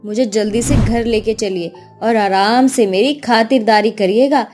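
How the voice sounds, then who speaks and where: A woman's voice: a little high, fairly even intonation, a little slow, a little mellow, faint music behind, close to an everyday voice. A woman voicing a fussy middle-aged mother-in-law who orders her son-in-law to pamper her, in a cartoon story. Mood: bossy. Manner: fussy, bossy, expects to be pampered. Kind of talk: cartoon voice acting.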